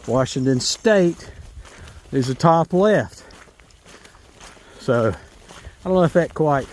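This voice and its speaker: A man's voice: fairly high pitch, lively intonation, takes it slowly, a little mellow. A man from North Carolina with a Southern accent, vlogging in a casual, rambling way while walking a rural trail. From North Carolina, USA. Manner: rambling, folksy, self-correcting. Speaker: hiking vlogger. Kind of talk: casual walking vlog to camera. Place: outdoors on a rural trail in the rain.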